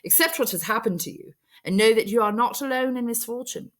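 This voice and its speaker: A woman reads as a kindly male doctor in a storybook, counselling a child. The delivery is patient and earnest, with a lifted pitch and gentle, advising phrasing. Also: fairly quick, natural voice. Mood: earnest consoling. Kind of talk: storybook character voice.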